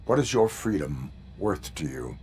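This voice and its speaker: An actor playing a stern, dutiful cyborg police officer in a video game, speaking in a terse, formal, deadpan way. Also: male voice, low voice, measured pace, slight background noise. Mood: stern, flat. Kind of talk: video game character acting.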